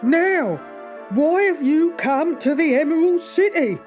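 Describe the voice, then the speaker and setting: A woman's voice: sits high, expressive, slow pace, dull audio, faint music behind, voice pushed up. A woman voicing a solemn little male gatekeeper in a children's storybook, emphatic and full of warning. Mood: curious, warning.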